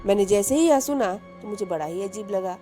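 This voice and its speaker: A woman telling a first-person horror story, dramatic, rising with suspense and dropping to a hush in tense moments. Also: mid-range voice, expressive, a little fast. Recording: music bed under the voice.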